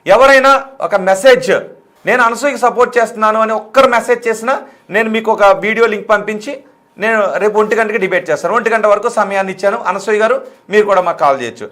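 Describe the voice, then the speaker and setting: Fast, high-pitched man hosting live. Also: fairly bright tone. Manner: insistent, repeats appeals, code-switches into English words. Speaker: live TV news debate host. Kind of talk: live call-in debate, addressing viewers.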